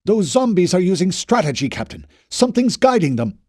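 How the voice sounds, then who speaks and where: A man's voice: high voice, very animated, a little fast, a little mellow, dry recording, voice pushed up. A panicked male scientist pleads in a strained, raised voice, as a male narrator puts him on. He sounds urgent and desperate, far above the reader's normal register. The sound is dry and close.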